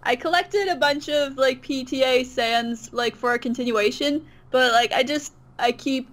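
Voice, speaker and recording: A woman's voice: high-pitched, normal speed, a bit bright. A woman chatting casually as an interview guest, agreeable and hesitant, often trailing off. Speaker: interview guest, online comic dub creator. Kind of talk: casual chat.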